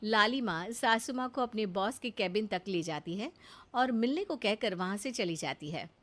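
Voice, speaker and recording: A woman's voice: medium pitch, lively intonation, moderate pace. A woman narrating a scripted story as a storyteller.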